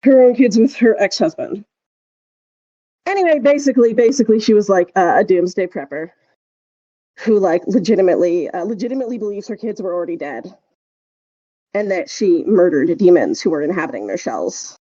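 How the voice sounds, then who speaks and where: Mellow-voiced woman chatting, dull dry recording. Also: mid-range voice, expressive, no echo. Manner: rambling, halting storytelling. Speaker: podcast co-host. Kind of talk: casual chat.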